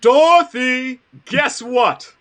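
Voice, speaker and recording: A woman playing a naive, eager, bumbling living scarecrow in a live fantasy table read, with halting delivery. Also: sits high, fairly bright tone, heavily acted.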